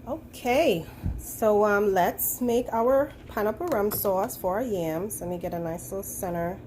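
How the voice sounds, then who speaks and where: A woman's voice: mid-range voice, measured pace, slight background noise. A woman private chef, sounding nasal from a head cold, chatting casually with lots of ums as she walks through a recipe in her kitchen on a handheld camera. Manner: chatty, relaxed, many ums. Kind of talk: home cooking demonstration. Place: home kitchen. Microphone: handheld phone camera.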